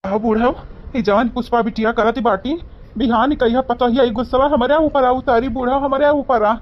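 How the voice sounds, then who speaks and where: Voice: medium pitch, fairly even intonation, a little fast, lo-fi sound, lightly acted. A performer voicing a put-upon, worried village family member in a comedy cartoon, plaintive, with an eastern Uttar Pradesh accent. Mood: worried, complaining.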